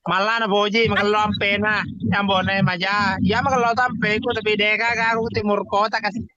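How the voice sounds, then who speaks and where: A high-voiced man chats casually as a live-stream host, drawling now and then. His voice comes through muffled, with clear noise in the background. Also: little pitch movement, normal speed. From South Sulawesi, Indonesia.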